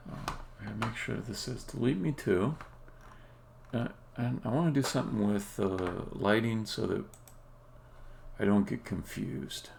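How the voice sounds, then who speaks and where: A man thinking aloud on a live-coding stream, muttering to himself in a halting way and often trailing off. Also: on the low side, creaky, a little mellow. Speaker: indie game developer streaming. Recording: faint background noise, keyboard clicks. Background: computer keyboard typing and clicking.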